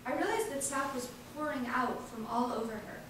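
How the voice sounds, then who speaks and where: A woman giving an earnest, emphatic dramatic reading of a first-person monologue, with deliberate pauses. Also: sits high, a bit bright. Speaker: reader performing an activist's first-person testimony. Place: large indoor event space, distant microphone. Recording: echoey hall from far off, faint noise.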